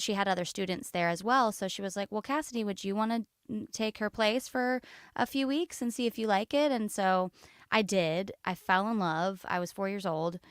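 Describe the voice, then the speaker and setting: A woman's voice: medium pitch, clean tone, dry recording. A woman in her thirties from Florida, a singer chatting as a guest in a podcast studio, laughing often and filling with ums. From Florida, USA. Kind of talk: casual interview chat.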